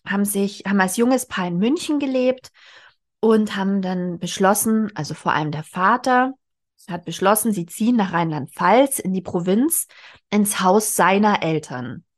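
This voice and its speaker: A woman in her forties hosting a book podcast, explaining casually as she talks with a co-host and thinks aloud. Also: mid-range voice. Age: 40s. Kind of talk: casual book discussion between co-hosts.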